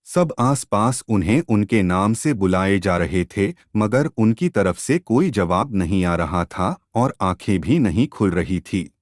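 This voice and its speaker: A man tells a scripted eerie tale in a fairly low voice that rises and falls with plenty of expression. His delivery is very slow, measured and dramatic, as a storyteller's would be. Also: animated, dry recording, clean studio sound. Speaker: storyteller, narrator. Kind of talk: scripted ghost-story narration.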